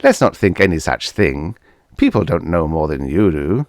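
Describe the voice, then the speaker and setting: Male reader as shifty older mad scientist doctor, chiding, theatrical, gravelly, swooping pitch, storybook character. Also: sing-song, normal speed, darkish tone, dry recording, studio quality. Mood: chiding, fussy. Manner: fussy, theatrical, patronizing. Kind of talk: vintage science fiction audiobook character.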